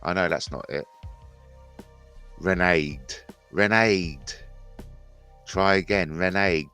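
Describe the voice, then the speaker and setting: A man's voice: sits low, slow pace, bright, forceful tone, dry recording, soft background music. A man in his fifties hosting a rambling, jokey livestream chat, repeating himself and mentioning his speech impediment. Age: 50s. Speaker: livestream host.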